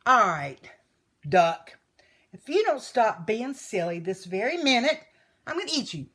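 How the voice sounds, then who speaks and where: A woman's voice: sits high, animated, moderate pace, creaky, a bit bright, breathy edge, pitched above the performer's own voice, full character voice. A woman voicing a storybook big bad wolf, male, well-mannered but easily hurt, with dramatic, emphatic delivery. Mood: exasperated.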